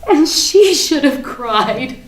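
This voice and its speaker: A woman's voice: high-pitched, lively intonation, takes it slowly. A woman acting a bitter, manic, sardonic character in a theatrical dramatic monologue at a staged reading. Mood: gleeful, ranting. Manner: theatrical, emphatic, repetitive, sardonic, swinging from hushed to ranting. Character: bitter, manic woman confessing her war on a Barbie doll. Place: indoor room, live staged reading. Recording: echoey room with background hiss.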